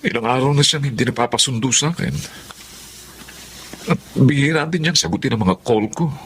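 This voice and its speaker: An actor playing a bewildered, devoted young boyfriend, earnest and troubled, in a radio drama. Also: male voice, medium pitch, a little fast, a bit bright, slight background noise, lightly acted. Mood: worried.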